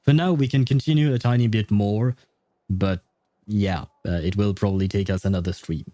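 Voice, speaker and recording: A man's voice: fairly low pitch, moderate pace, dark tone, no room sound. A man livestreaming a video game, chatting casually with viewers in rambling, self-deprecating banter. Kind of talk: casual livestream chat while gaming.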